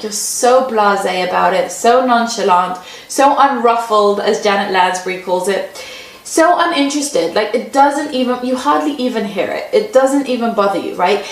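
A woman giving parenting advice in a conversational way, often checking in with "right?" as she explains. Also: a little high. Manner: conversational, often adds "right?" tag questions. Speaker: parenting coach. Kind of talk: advice talk answering a parent's question. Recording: roomy echo.

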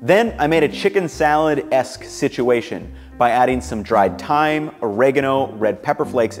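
A young man in his twenties, upbeat and relaxed, narrating his home cooking and chatting to the camera in his kitchen. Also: medium pitch. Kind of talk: cooking vlog voice-over and casual to-camera chat.

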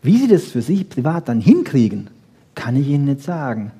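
A man giving a popular science lecture with wry humour, rhetorical questions and short checking tags, in a husky voice. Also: medium pitch, very animated, normal speed, dark tone. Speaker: lecturer on brain research.

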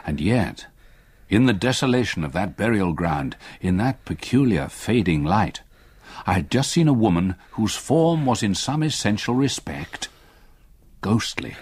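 An actor playing an older English solicitor, gravely narrating a haunting memory in a radio play. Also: male voice, lowish voice, very animated, a little mellow, no room sound, full character voice. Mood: solemn. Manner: grave, measured storytelling. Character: haunted old English solicitor recalling past terror.

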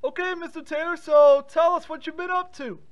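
A man voicing an excitable, bossy talking pigeon on an improvised prank call, exasperated and repeating himself. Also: extremely high pitch, fairly quick. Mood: chatty. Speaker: prank caller.

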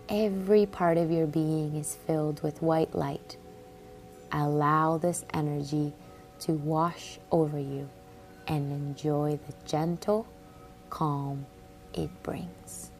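Very slow, low-voiced woman guiding meditation. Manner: calm, soothing, unhurried with long pauses. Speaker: guided meditation narrator. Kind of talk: guided relaxation meditation, scripted read-aloud. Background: music under the voice.

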